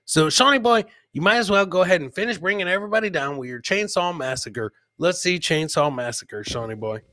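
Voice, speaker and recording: A man's voice: high voice, animated, fairly quick, bright, forceful tone. A man hosting a live comedy clip show, bantering casually and jokily with his co-host and chat. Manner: jokey, addresses co-host and live chat by nickname.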